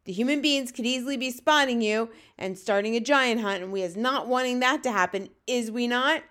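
A woman voicing a huge, menacing giant bully in a storybook, loud, booming and taunting. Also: fairly quick, natural voice. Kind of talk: storybook read-aloud villain voice.

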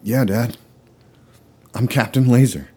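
A man's voice: mid-range voice, takes it slowly, mellow, husky. A man acting in a comedy sketch as an earnest, exasperated twenty-something son, hesitant and pleading as he confides in his parents. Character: earnest exasperated 25-year-old son confessing secret superhero identity.

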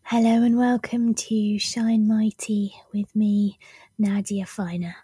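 A woman hosts her own podcast in a relaxed, slow way, laughing at herself between ums. Her voice has gone husky and deep for now, with a mellow, dark tone. The room is dry and close. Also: dry recording, soft background music. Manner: self-deprecating, many ums, laughs at herself. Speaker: podcast host. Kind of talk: casual solo podcast talk.

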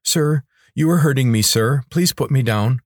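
A man's voice: medium pitch, big pitch swings, fairly quick, slightly rough, no echo, studio quality, voice pushed up. A man voicing a small, loyal, fretful lizard creature in an audiobook, with formal, deferential phrasing. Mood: pleading. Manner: formal, deferential phrasing addressing a master as sir. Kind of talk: audiobook character voice.

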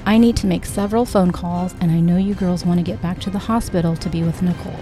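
A woman's voice: fairly low pitch, steady tone, fast, mellow, soft background music, lightly acted. A woman voicing an anxious, protective grandfather who is a private investigator in an audiobook, with terse questions. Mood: serious.

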